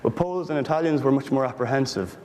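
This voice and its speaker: A man from Ireland with an Irish accent, speaking earnestly but haltingly on a panel about political research. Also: mid-range voice, darkish tone, in a large hall. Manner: earnest, halting, many restarts. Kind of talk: panel discussion.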